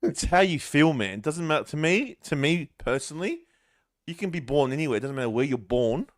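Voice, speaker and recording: A man's voice: fairly high pitch, lively intonation, a little fast. An Australian man co-hosting a casual podcast, talking in an emphatic, insistent, slangy way. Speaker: podcast co-host. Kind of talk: casual, opinionated chat.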